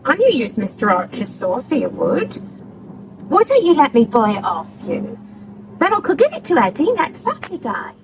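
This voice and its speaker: An actress playing a forthright country wife in a radio soap, wheedling and plain-spoken. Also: female voice, high voice, huge pitch swings, normal speed, noisy background, heavily acted. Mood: coaxing. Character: forthright, coaxing working-class country wife. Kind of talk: naturalistic radio soap acting.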